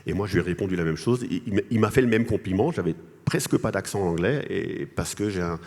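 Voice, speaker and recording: A man from Alsace whose mother tongue is Alsatian speaks as a guest on a panel. His voice sits fairly low, and he argues his points haltingly, repeating words as he goes. The big hall around him adds long reverb. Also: lively intonation, a little mellow. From Alsace, France. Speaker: guest speaker, language association president. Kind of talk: panel interview, advocating argument with anecdotes. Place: congress hall. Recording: echoey large hall.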